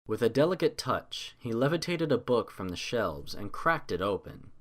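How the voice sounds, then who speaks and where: A man's voice: mid-range voice, sing-song, moderate pace, fairly bright tone. A man narrating a scripted fantasy story in a dramatic audiobook style.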